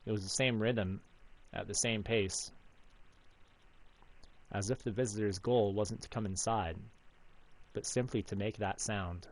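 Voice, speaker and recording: A man's voice: on the low side, steady tone, a little slow, pauses often, a little hiss or hum, rough recording. A man narrating a visual novel aloud for a game playthrough.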